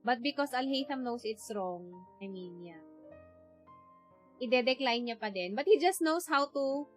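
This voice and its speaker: A woman's voice: fairly high pitch, lively intonation, normal speed, soft background music. A woman with a Filipino accent giving casual live game commentary as a streamer, switching between languages.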